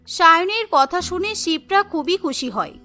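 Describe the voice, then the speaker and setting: A woman giving scripted narration for a cartoon, in a steady storytelling manner. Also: extremely high pitch, moderate pace, faint music behind.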